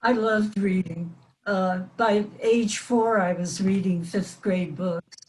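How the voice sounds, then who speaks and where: An American woman in her eighties giving brief, measured answers in a casual panel conversation. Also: medium pitch, steady tone. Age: 80s. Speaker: former child radio actress, convention guest. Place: remote virtual convention call. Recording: noisy call audio with clicks.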